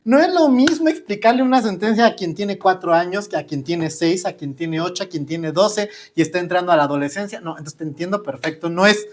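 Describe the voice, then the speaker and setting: A man's voice: sits high, brisk, few pauses, a little hiss or hum. A man hosting a podcast, explaining with enthusiasm. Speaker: podcast host. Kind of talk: casual interview chat.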